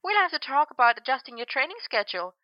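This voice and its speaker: A woman's voice: high voice, big pitch swings, fast talker, dry recording, lo-fi sound, pitched above the performer's own voice. A woman voicing a bubbly, flirtatious female dance coach with a teasing, sing-song manner.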